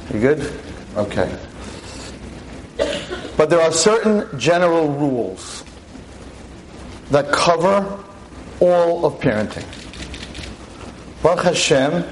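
Loud emphatic man in his sixties. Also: on the high side, slight background noise, low-quality recording. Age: 60s. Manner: emphatic, rhetorical questions. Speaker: teacher. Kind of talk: live lecture to an audience. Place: talk in front of an audience. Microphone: handheld or stand mic.

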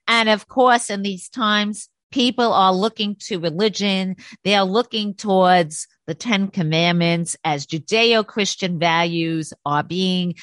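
A woman's voice: mid-range voice, fairly bright tone, no echo. Earnest woman talk-show host, dry sound. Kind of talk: interview hosting.

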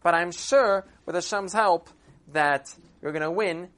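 A man's voice: high voice, unhurried, a bit bright, voice pushed up. A man voicing a cheeky, stubborn, simple old shepherd in a folk story, blunt and full of questions. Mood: cheeky confidence. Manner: blunt, protesting, rhetorical questions.

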